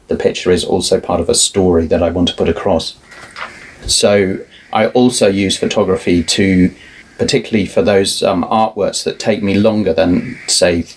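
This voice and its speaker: A middle-aged man, a guest artist, talking in a relaxed, thoughtful way with many restarts. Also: sits low, breathy edge, poor audio. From family roots in Ireland, moved around a lot. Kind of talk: relaxed conversational interview.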